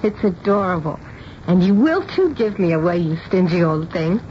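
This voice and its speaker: A woman's voice: lowish voice, sing-song, moderate pace. A pert, opinionated young woman soon to be a bride. Her voice leaps about expressively, with a gushing girlish manner. It is a radio drama on a dull, faintly noisy recording. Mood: gushing, affectionate. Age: young adult. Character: bright, opinionated nineteen-year-old bride-to-be daughter.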